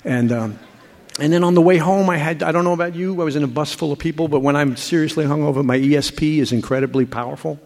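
An older man telling his recovery story to a group, halting and full of restarts. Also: a little high, darkish tone. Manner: halting, with frequent repeats and restarts. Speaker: speaker sharing a recovery story. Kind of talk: personal testimony to a group. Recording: hall reverb.

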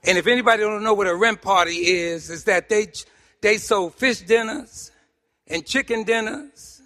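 A man with a New York accent speaks in a high-pitched, forceful voice that rings through a reverberant hall. He tells his own story like a seasoned storyteller, animated and humorous, leaning on pauses and repetition for emphasis. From Harlem, New York City, United States. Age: middle-aged or older. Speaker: speaker at a recovery meeting. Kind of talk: personal testimony told to an audience with humor. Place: meeting hall, speaking to a group. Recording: echoey hall with long reverb.

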